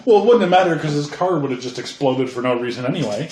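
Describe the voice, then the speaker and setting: A man's voice: on the high side, lively intonation, quick pace, a little mellow, a little hiss or hum. Wry man in a roomy echo. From Canada. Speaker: podcast co-host. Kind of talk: casual movie chat.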